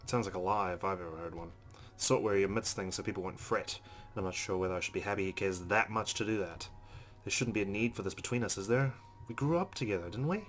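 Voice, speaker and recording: Low-voiced, quick-reading male gamer. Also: fairly bright tone. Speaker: let's play gamer. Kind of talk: reading visual novel narration aloud with casual asides.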